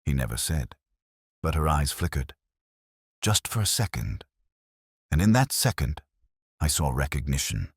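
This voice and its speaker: A man's voice: a bass voice, expressive, a little slow, pauses often, slightly rough, no room sound, clean studio sound. A man narrating a scripted first-person detective story in a dramatic, wry hardboiled manner.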